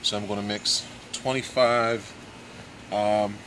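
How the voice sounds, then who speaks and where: A man in his forties giving a casual step-by-step how-to demonstration in a backyard, with relaxed fillers. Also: fairly low pitch, slow pace, a little hiss or hum. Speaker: hobby boatbuilder. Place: backyard outdoors. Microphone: camera mic, handheld camera nearby.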